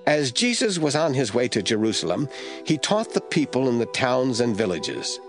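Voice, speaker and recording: A man narrating scripture from a script as the storyteller of an audio drama, with a husky voice. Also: mid-range voice, very animated, normal speed, over music.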